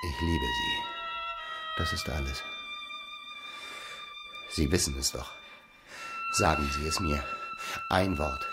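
A courtly seducer in a radio play, with a very deep voice that swings dramatically up and down. He takes his time, pleading ardently and theatrically. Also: wildly swooping pitch, slow pace, long pauses, loud music behind the voice, exaggerated voice. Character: smooth, ardent gentleman seducer wooing a married woman. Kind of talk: literary radio drama acting.

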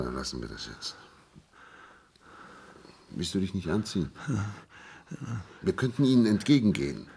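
Ein Schauspieler spielt im Hörspiel einen müden, resignierten Mann, der heiser, zögernd und eindringlich auf einen Gefährten einredet. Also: male voice, low-pitched, a little mellow, slightly rough, full character voice. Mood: resigned, then caring. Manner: hesitant, pleading, intimate. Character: world-weary, resigned man patiently coaxing his silent companion. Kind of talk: German radio play acting.

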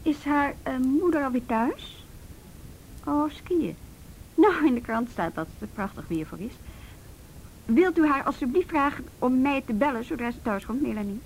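A policewoman with a clearly high voice in a radio play. She is conscientious and plain-spoken, with a wry edge. The recording has audible noise and rumble. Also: expressive, moderate pace, a little mellow, rough recording. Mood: polite, reassuring. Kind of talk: Dutch radio drama acting.

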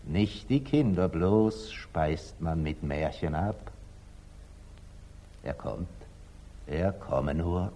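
An actor playing a shrewd, cautious, dignified merchant with a measured, husky delivery in a 1940s German radio drama. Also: male voice, sits low, expressive, warm tone, full character voice. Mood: pondering, wary. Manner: measured, pondering, guarded.

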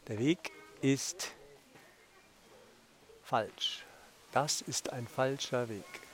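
A man giving a reflective monologue in short, deliberate, declarative phrases. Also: mid-range voice, fairly bright tone, poor audio.

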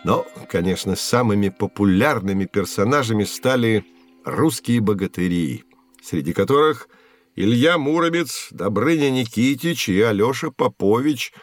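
A man's voice: on the low side, animated, normal speed, soft background music, lightly acted. An actor playing a patient, knowledgeable man teaching children, explaining things like a storyteller in scripted educational narration. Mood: patient, explanatory. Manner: explanatory, storytelling, clearly phrased.

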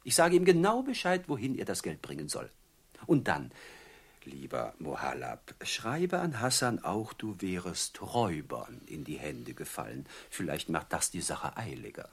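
A husky, mocking bandit chief. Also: male voice, deep voice, wildly swooping pitch, normal speed, heavily acted. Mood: falsely courteous, gloating. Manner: theatrical, oily politeness, recites verse. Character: mocking, falsely courteous male bandit chief extorting ransom. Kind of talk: German radio play acting.

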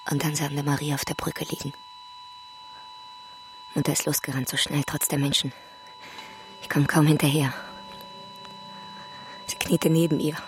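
An actress in a radio drama playing a grieving woman who survived a bombing, telling it in a quiet, halting, hushed way. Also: deep voice, level tone, slight background noise. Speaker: woman recounting a wartime air raid.

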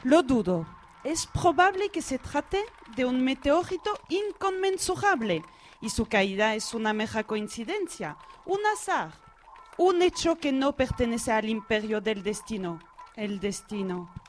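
A woman's voice: a little high, animated, a little slow. A woman playing a pompous, self-assured female astronomy professor in a parody radio drama, speaking theatrically. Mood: dismissive, grandiose. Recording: noticeable background noise.

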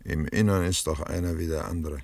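An actor playing a dignified older chief in a German Western radio drama, grave, stern and deliberate. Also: male voice, extremely low pitch, fairly even intonation, vocal fry, darkish tone, dry recording, recorded from a distance, full character voice. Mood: bitter, solemn. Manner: solemn, deliberate, reproachful.

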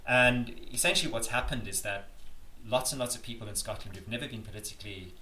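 A man's voice: mid-range voice, fast talker, sharp tone. A man with a South African accent, answering interview questions earnestly and emphatically, often restarting his sentences. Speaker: interviewee, campaigner. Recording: echoey room with faint noise.

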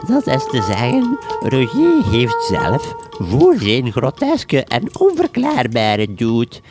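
A pompous village notary. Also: male voice, medium pitch, wildly swooping pitch, slow pace, loud music behind the voice. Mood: formal. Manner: formal, pompous. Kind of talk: radio comedy drama.

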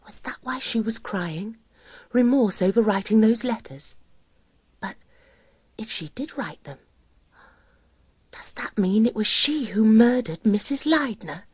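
Earnest, plain-spoken English nurse. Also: female voice, on the high side, lively intonation, measured pace, long pauses, a little mellow, breathy edge. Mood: puzzled, musing. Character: earnest, forthright female nurse helping a detective. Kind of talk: radio drama acting.

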